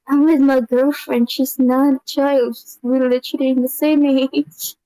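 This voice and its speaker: A woman's voice: fairly even intonation, takes it slowly, mellow, dry recording, low-quality recording. A young woman chatting casually on a live stream, rambling and giggling often. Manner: giggly, rambling, high-pitched.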